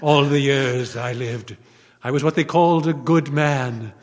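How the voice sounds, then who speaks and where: Man acting slow, solemn vengeful corpse, echoing. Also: medium pitch, steady tone, close to an everyday voice. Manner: solemn, deliberate, incantatory. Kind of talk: old-time radio horror acting before a live audience. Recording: long reverb of a live hall.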